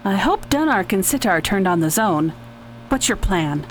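A woman playing an anxious, soft-hearted space traveler who talks earnestly in a radio drama. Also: medium pitch, sing-song, moderate pace, faint music behind, close to an everyday voice. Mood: concerned.

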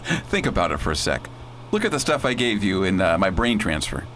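A man's voice: low voice, big pitch swings, brisk, a bit bright, slight background noise, full character voice. A man playing a breezy, decisive space adventurer who leads in slangy, commanding talk in a radio drama.

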